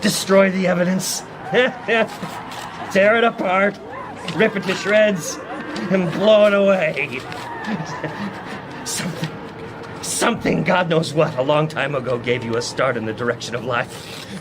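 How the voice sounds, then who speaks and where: High-voiced, haunted, overwrought man. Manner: overwrought, halting, breaking into manic laughter and cries. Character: terrified obsessed man besieged by a living wind. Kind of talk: radio drama acting. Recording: noisy old radio drama broadcast.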